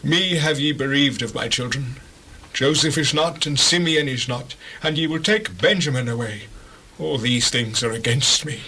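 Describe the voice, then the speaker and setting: A man's voice: mid-range voice, normal speed, bright, forceful tone, husky. An actor playing a grieving, protective old father in a solemn scripture drama, speaking in a lamenting way. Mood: grieving, refusing. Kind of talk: dramatized scripture reading in archaic English.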